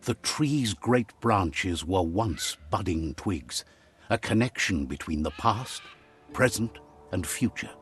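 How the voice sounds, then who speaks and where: A low male voice with lively, swooping intonation narrates a video game fable. He sounds like a wry old-style storyteller, measured and philosophical, with music playing underneath. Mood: reflective, sage.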